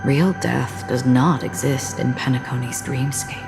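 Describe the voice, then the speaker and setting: A woman voicing a calm, enigmatic, solemn wanderer in a video game cutscene, speaking in a measured, stoic way. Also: extremely low pitch, vocal fry, full character voice, low-quality recording. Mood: calm, probing. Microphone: close studio voice recording. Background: game music.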